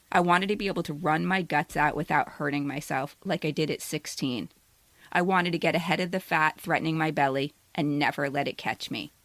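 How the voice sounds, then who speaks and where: A woman's voice: low voice, fairly bright tone, no echo. A woman in her fifties, a podcast co-host, speaking in a candid, direct way as a personal storyteller. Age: 50s. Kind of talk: scripted personal essay narration, then casual banter.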